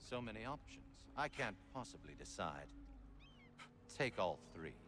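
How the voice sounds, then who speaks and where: A pompous villain for a game cutscene, all mock courtesy and cold menace. His delivery is theatrical and lively in pitch, with clear noise behind it. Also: measured pace. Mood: smug menace. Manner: haughty, drawling, mock-polite. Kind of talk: dramatic game cutscene acting.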